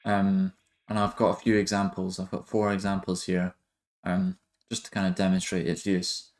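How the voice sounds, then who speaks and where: A man giving a casual software tutorial, talking in a hesitant, halting way as he thinks aloud. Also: deep voice, completely flat delivery. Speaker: music production tutorial host.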